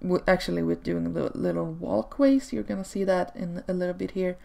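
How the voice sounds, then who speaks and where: A woman whose first language is Swedish, chatting casually over gameplay footage in a relaxed way, with frequent ums and small laughs. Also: on the low side, a little fast, creaky. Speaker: video creator. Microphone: home desk microphone.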